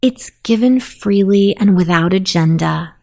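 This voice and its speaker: Slow, creaky-voiced woman guiding sleep meditation. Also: mid-range voice. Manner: soothing, unhurried, softly spoken. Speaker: guided meditation host.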